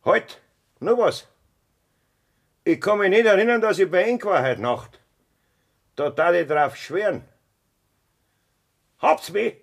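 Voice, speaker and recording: An actor with a raspy voice and Bavarian accent playing a cold, threatening farmer who bullies his family in a radio drama. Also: high-pitched, animated, slow pace, long pauses, full character voice. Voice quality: raspy, gravelly. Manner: taunting, threatening. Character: cold, menacing farmer bullying his wife and mother. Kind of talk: German dialect radio drama acting.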